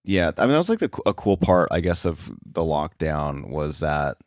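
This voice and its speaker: A man's voice: very deep voice, sing-song, normal speed, dry recording, clean studio sound. A man talking casually as an interview guest, explaining his sound editing work in a thoughtful, somewhat hesitant way. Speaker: podcast guest, sound effects editor.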